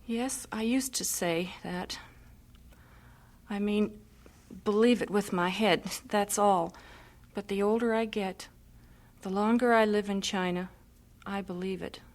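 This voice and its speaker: Actress as devout missionary wife, resolute, wry, medium-pitched voice, vintage radio drama. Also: female voice, fairly even intonation, slow pace, lightly acted. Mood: grieving, trusting. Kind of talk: old-time radio drama acting.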